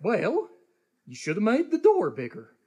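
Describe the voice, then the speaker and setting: An actor playing a blustery, stubborn, excitable old man with folksy talk in old-time radio comedy. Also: medium pitch, measured pace, husky, exaggerated voice. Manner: folksy, swooping, argumentative.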